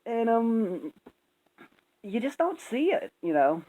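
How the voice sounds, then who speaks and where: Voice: mid-range voice, big pitch swings, slow, mellow, rough recording. A speaker giving casual, opinionated commentary in a rambling, emphatic, sweary way. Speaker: video commentator.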